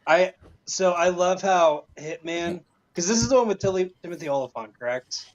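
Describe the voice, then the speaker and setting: A man's voice: sits high, normal speed, highs cut off. A man from the western United States chatting casually as a panelist. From Wyoming, United States. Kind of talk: casual chat.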